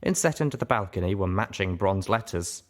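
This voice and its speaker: A man reading a first-person story aloud as an expressive audiobook narrator. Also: sits low, brisk. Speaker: audiobook reader.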